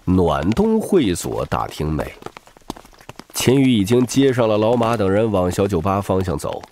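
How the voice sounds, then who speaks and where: A man's voice: on the low side, very animated, unhurried, a little mellow. A man narrating a story as an audiobook reader in a dramatic scripted style.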